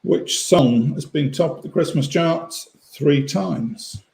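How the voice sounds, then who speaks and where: Gravelly man in an echoey room. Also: fairly high pitch, takes it slowly, a little mellow. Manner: reads questions, chimes in with dry asides. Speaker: quiz co-host. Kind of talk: casual online quiz reading.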